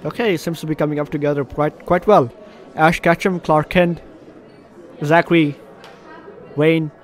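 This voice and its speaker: A man doing let's play commentary, reading game text aloud in an animated, slightly stumbling way. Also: a little high, unhurried. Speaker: video game streamer. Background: game music.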